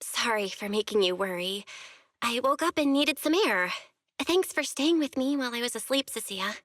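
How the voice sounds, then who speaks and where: A woman voicing a calm, kind young female manager in a video game, speaking gently with a light teasing touch. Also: a little high, normal speed, dry recording, clean studio sound. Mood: apologetic, friendly.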